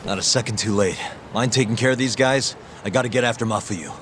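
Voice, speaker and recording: A man's voice: on the low side, fast, fairly bright tone, a little hiss or hum, lightly acted. A man playing a laid-back, wry detective hero in a video game cutscene, talking casually and tersely. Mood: urgent.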